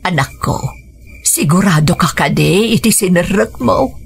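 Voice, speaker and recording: A woman playing a caring, humble older mother in a radio drama, gentle, hesitant and fretful in manner. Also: lowish voice, expressive, unhurried, vocal fry, breathy edge, exaggerated voice. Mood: worried.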